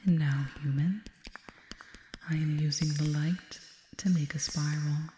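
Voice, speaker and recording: Woman as a slow, breathy, serene alien hypnotist. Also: deep voice, fairly even intonation, a little hiss or hum. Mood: hypnotic, commanding calm. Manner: breathy, whispered to softly spoken, slow and deliberate. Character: serene alien girl hypnotist, soothing and all-engulfing. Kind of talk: ASMR hypnosis roleplay. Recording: echoing reverb with long tail.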